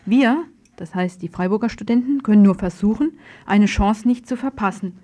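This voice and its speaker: A woman's voice: mid-range voice, normal speed, warm tone. A woman radio presenter reading a scripted political news report.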